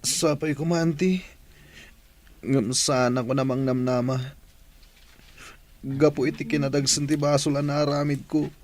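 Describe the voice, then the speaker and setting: A man's voice: mid-range voice, fairly even intonation, unhurried, pauses often, lightly acted. A man with an Ilocano Filipino accent acting a remorseful, pleading prisoner in a radio drama.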